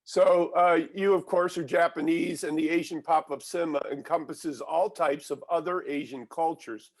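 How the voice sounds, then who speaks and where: A man asks measured, formal interview questions over a video call. His voice sits a little high and has a gravelly, fry-laden edge. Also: fairly even intonation. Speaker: film critic interviewer.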